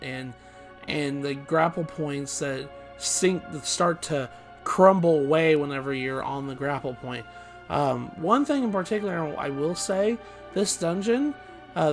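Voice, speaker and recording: A man with a slightly hoarse, groggy voice giving loose, rambling let's play commentary. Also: on the high side. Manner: rambling, loose, with many fillers and restarts. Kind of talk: casual gameplay commentary.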